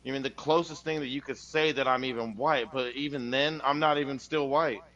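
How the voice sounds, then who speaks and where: A man in casual chat, telling a story loudly with lots of swearing. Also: mid-range voice, brisk, highs cut off. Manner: loud, swearing, animated storytelling.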